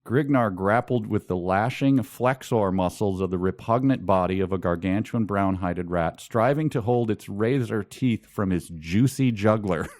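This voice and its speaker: A man with a fairly low voice talks as a casual podcast co-host. He is wry and amused as he discusses a book, with a halting way of getting through his thoughts. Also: darkish tone, no echo, studio quality.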